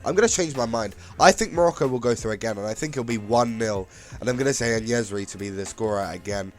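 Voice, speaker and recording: A man with a slightly raspy voice from being ill, chatting casually about football predictions with lots of fillers and restarts. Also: medium pitch, fast, soft background music. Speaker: football channel host. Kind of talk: casual predictions talk to camera.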